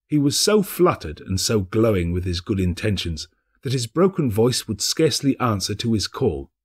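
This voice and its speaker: A man narrating a classic story as an audiobook reader, in a theatrical, expressive and dramatic literary style. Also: fairly low pitch, moderate pace, breathy edge.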